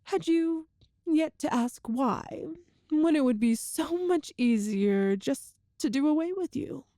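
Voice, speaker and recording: A woman playing a cruel, seductive empress in a dramatic ASMR roleplay, with haughty, theatrical, deliberate phrasing. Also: high-pitched, expressive, mellow, dry recording, clean studio sound. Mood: taunting, coaxing. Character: cruel imperious empress, seductive, menacing tyrant.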